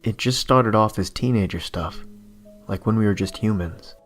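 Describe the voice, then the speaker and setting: A man's voice: on the low side, moderate pace, soft background music, lightly acted. A man playing a cowed, crude teenage vampire thrall in a horror audio story. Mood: ashamed, nervous.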